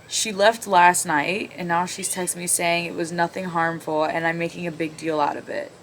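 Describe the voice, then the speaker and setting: A woman's voice: on the low side, a bit bright. A woman hosting a casual video, reading online stories aloud and cutting in with blunt, sarcastic, sweary commentary. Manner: blunt, profane, sarcastic interjections. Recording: clearly audible background noise.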